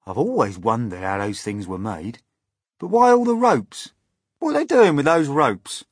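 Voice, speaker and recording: A man voicing a brash, sharp-tongued male centipede. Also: medium pitch, very animated, breathy edge, dry recording, studio quality, voice pushed up. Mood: curious. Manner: sharp, quick, cocky.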